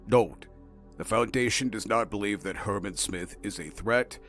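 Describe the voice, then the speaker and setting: A man's voice: on the low side, normal speed, a bit bright. Man narrating a clinical report, faint music.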